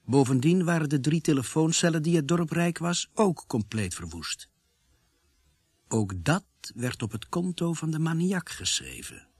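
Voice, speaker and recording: A man's voice: fairly high pitch, expressive, a little slow, darkish tone, husky, no room sound. A man narrating a radio drama as a grave storyteller, in scripted dramatic narration. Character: grave male storyteller of a village murder mystery.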